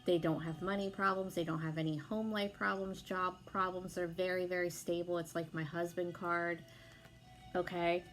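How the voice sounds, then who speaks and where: A young woman with a hoarse voice from being sick, chatting casually as she gives a tarot reading, with lots of fillers and some swearing. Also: fairly low pitch, flat delivery, moderate pace, soft background music, poor audio. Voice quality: hoarse, gravelly. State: sick, losing her voice. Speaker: tarot reader.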